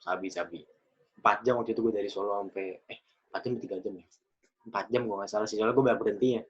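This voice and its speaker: A man of about twenty, hosting a casual podcast chat, chatty and quick to laugh. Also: lowish voice, fairly even intonation, husky. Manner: chatty, laughs often, loose slangy talk. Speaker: podcast host. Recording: dull lo-fi recording.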